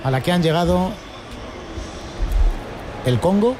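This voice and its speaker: A man's voice: high-pitched, expressive, slow. A Spanish man with a Castilian accent hosting live football talk on a sports programme. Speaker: host of a sports radio programme. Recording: clear background stadium and street noise.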